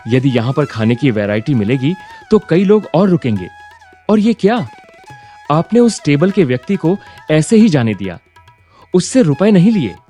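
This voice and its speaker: Cartoon story role: impatient, ambitious young son, male actor, lively intonation, soft dark timbre, dismissive edge. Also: on the high side, normal speed, faint music behind. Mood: scolding. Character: ambitious, impatient young business graduate, son of eatery owner. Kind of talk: Hindi cartoon story voice acting.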